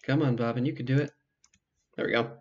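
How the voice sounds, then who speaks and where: A man's voice: mid-range voice, fairly even intonation, fast, slightly rough. A man giving casual let's play commentary as the host of a video game playthrough. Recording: slightly muffled sound, music behind. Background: game music.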